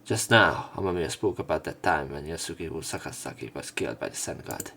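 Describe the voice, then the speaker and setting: A man's voice: rumbling low, expressive, a little fast, bright, forceful tone, husky. A man reading visual novel text aloud and commenting casually on it as a let's player.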